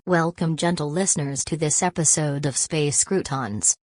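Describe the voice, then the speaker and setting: Woman playing a deadpan female AI assistant, low-pitched. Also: normal speed, no echo, studio quality. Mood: polite, apologetic. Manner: deadpan, literal, formal. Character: literal-minded, contrary female AI production assistant. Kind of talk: scripted comedic podcast dialogue.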